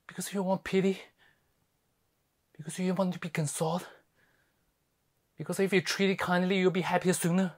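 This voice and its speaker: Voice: mid-range voice, close to an everyday voice. An actor playing a sardonic, fiery lawyer in a theatrical monologue, scolding with scathing rhetorical questions. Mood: biting, incredulous. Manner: scathing, rhetorical, swinging from hushed to ranting. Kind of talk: theatrical dramatic monologue performance.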